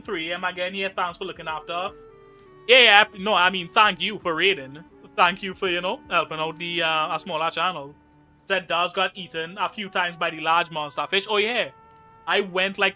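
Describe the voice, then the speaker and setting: Bright-voiced Trinidadian man streaming a game. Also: high-pitched. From Trinidad and Tobago. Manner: chatty, joking, reads chat aloud. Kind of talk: casual live-stream gaming chat.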